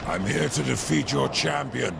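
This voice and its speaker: A man playing a grim, defiant warrior challenger in an animated fantasy, blunt and menacing, with a gravelly voice. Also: moderate pace, heavy background noise. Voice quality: very deep, gravelly. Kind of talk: animated fantasy drama acting. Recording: loud music over the voice.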